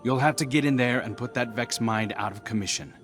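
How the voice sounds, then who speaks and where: An actor playing a roguish, friendly scout in a video game, casual, teasing and reassuring. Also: male voice, medium pitch, brisk, a bit bright, soft background music, natural voice. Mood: encouraging. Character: roguish, wry, friendly male scout briefing an ally.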